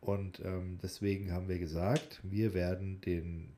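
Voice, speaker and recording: A man's voice: sits low, flat delivery, measured pace, dark tone, slight background noise. A man co-hosting a podcast in casual banter, with a jokey, teasing way of talking. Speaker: podcast co-host.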